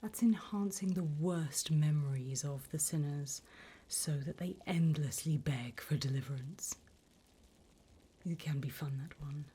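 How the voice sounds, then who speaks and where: A woman voicing a politely bureaucratic, wickedly encouraging demon recruiter in a breathy, unhurried ASMR whisper. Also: low voice, warm tone, full character voice. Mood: businesslike, wicked relish. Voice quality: breathy whisper, mostly unvoiced. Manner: unhurried, polite, dryly businesslike about torment.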